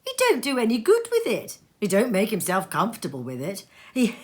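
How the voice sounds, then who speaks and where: A woman voicing a cheerful young gentleman in a storybook reading, hearty, good-humoured and exclamatory. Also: medium pitch, wildly swooping pitch, fairly quick, voice pushed up. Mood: merry. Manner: exclamatory, breaking into laughter.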